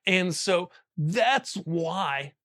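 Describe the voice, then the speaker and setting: A man's voice: high voice, lively intonation, extremely slow, pushed and bright, no room sound, clean studio sound. A man hosting a casual explainer tutorial, talking conversationally and often checking in with the listener. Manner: conversational, checks in with frequent "right?" and "okay?". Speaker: host, audio gear instructor.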